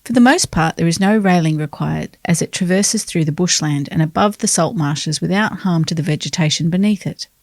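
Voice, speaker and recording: An Australian woman reading a reader's letter aloud in an earnest, unhurried way. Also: fairly low pitch, dry recording, clean studio sound. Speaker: newspaper reader.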